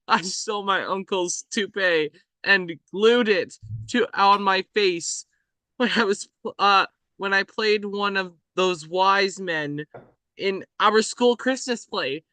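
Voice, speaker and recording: A woman's voice: mid-range voice, fairly bright tone, no room sound. A woman reading the part of a frightened, rambling young boy held captive, nervous and blurting.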